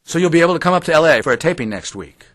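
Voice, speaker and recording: A man's voice: a little high, animated, rapid-fire, a bit bright, dry recording, clean studio sound. An actor playing a skeptical, probing, dryly sarcastic male reporter in an audio drama.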